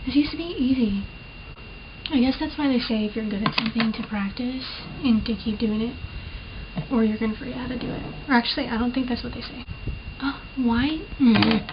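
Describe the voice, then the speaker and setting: A woman's voice: a little high, fairly even intonation, brisk. Young woman chatting through a makeup tutorial. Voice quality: slight lisp from the recording. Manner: chatty, self-deprecating, rambling with fillers. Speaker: beauty vlogger. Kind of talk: casual makeup tutorial chat. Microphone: built-in camera mic. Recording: muffled lo-fi camera mic with clear hiss.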